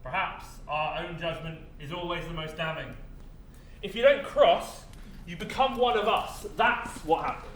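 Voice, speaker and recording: Male stage actor as weary philosophical official, measured, grave, roomy sound, far from mic. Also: on the high side, a bit bright. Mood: solemn. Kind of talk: stage play acting. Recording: echoey stage, distant mic, faint noise.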